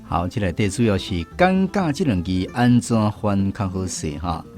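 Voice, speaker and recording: A man's voice: on the low side, sing-song, moderate pace, a little mellow, soft background music. A man with a Taiwanese accent hosting a language lesson on the radio. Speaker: host of a Taiwanese-language quiz segment.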